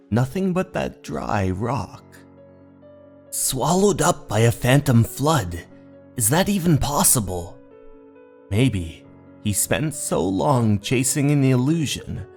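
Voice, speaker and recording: A man narrating a scripted horror story in a theatrical, measured way. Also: mid-range voice, gravelly, darkish tone. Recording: voice over background music.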